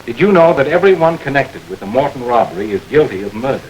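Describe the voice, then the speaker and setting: A man voices a steady, no-nonsense detective in an old radio crime play. His pitch sits in the middle, his questions come firm and measured. A faint hiss runs under the vintage recording. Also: natural voice, rough recording. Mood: stern. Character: stern middle-aged male police investigator. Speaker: police lieutenant. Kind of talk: 1940s radio acting.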